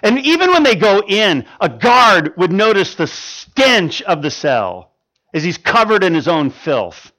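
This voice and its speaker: A man in his fifties preaching a sermon, swinging from loud emphatic stretches to quiet ones, with frequent stammered restarts. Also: high-pitched, sing-song, a little slow. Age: 50s. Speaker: pastor.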